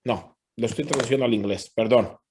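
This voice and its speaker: Brisk bilingual male meeting interpreter. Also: medium pitch, noisy background. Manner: brisk, switches between Spanish and English, uses fillers like "este" and "pues". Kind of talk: interpreting live at an online community meeting. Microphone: video call.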